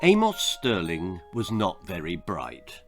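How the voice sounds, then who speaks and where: Slow, animated male storyteller. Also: sits low, soft background music. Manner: theatrical, deliberate. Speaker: author and storyteller. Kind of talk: dramatic children's story reading.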